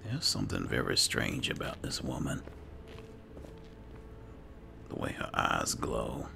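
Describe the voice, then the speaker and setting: Un uomo che commenta un videogioco in stile gioco di ruolo, riflettendo ad alta voce nei panni del personaggio, con musica di gioco sotto. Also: male voice, extremely low pitch, sing-song, slow pace, creaky, noisy background. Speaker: gaming channel host role-playing as an alchemist. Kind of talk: slow, musing in-character game roleplay commentary. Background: game music.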